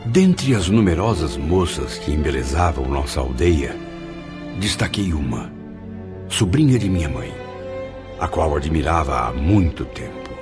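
A man's voice: rumbling low, big pitch swings, a little slow, exaggerated voice. An actor playing a male spirit who solemnly recalls his past life in a radio drama. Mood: solemn, reminiscent. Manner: solemn, theatrical first-person storytelling. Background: music.

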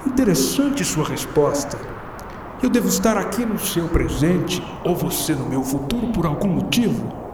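Mysterious time traveler, formal, theatrical, radio drama, roomy echo, off-mic. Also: male voice, on the high side, very animated, normal speed. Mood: intrigued. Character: enigmatic, formal male time traveler from a novel. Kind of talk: scripted radio drama acting. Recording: echoey, distant, noisy ambience behind.

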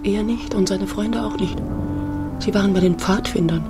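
A worried, resolute woman in hiding , played by an actress in a radio drama. She speaks earnestly at a middling pitch and keeps hushed, with loud music and a storm behind her. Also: moderate pace, lightly acted. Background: loud music, rain, thunder.

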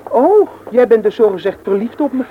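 Stubborn schoolboy, teasing, flippant, radio drama, dull noisy recording. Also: male voice, extremely high pitch, very animated, brisk. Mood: teasing, earnest. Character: cheeky, stubborn teenage schoolboy in love.

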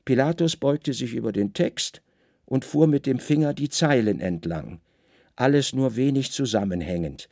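Man, literary radio narrator, deliberate, hushed drama, creaky voice, close dry studio. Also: mid-range voice, expressive, no echo.

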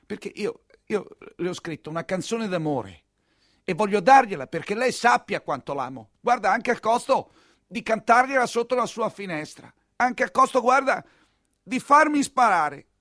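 An actor playing a heartbroken, naive young count who speaks in a pleading, wounded way in a radio monologue play. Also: male voice, sits high, expressive, normal speed, sharp tone, no echo, clean studio sound. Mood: desperate, fervent.

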